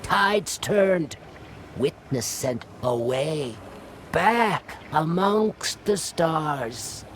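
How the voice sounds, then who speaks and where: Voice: medium pitch, animated, very slow, a little hiss or hum. A voice actor playing a scheming, demanding parasitic alien worm in a video game, speaking in clipped, grandiose broken phrases. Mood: ominous storytelling. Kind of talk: dramatic game voice acting. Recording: loud music over voice.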